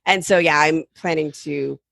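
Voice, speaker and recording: A woman guest talks casually on a tech podcast. Her voice has noticeable vocal fry and is recorded very dry, and she explains things agreeably. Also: deep voice, steady tone, normal speed, fairly bright tone, no room sound.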